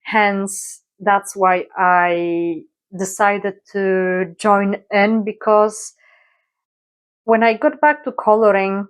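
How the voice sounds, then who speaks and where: A woman's voice: medium pitch, steady tone, very slow, clear, resonant tone. A young adult woman from Poland with a Polish accent, hesitantly introducing herself in a casual vlog with many fillers. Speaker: YouTuber.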